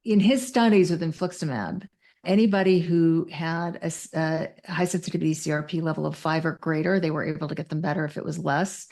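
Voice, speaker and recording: Creaky, lower-pitched woman guest. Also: steady tone, a little fast, a little mellow. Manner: precise, self-correcting, technical vocabulary. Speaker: interview guest, mental health clinician. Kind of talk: conversational expert interview.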